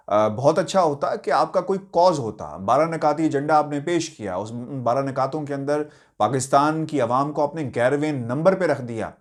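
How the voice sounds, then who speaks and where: Pakistani man giving halting political commentary. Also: medium pitch. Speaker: news commentator and host. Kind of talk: political news commentary to viewers.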